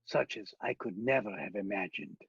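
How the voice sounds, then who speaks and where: A man playing a commanding vampire hunter in a radio play, speaking with solemn, dramatic urgency and a husky voice. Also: fairly low pitch, lively intonation, normal speed, no room sound, lo-fi sound, heavily acted.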